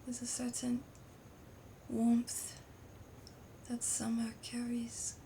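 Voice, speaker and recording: Slow, breathy French roommate, acted by a woman. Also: a little high, completely flat delivery, mellow, exaggerated voice. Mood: dreamy, hushed. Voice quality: breathy, often whispering. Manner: languid, teasing, dramatic. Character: playful, dreamy, relaxed French female roommate, teasingly dramatic. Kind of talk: intimate ASMR roleplay, rambling. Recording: outdoor summer ambience noise behind voice. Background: birdsong, crickets, wind chimes.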